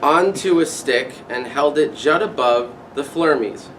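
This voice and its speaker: Guy reading aloud on a panel, casual, bit high, off mic w some faint noise. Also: unhurried, fairly bright tone, distant mic, poor audio. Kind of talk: casual halting read-aloud and banter.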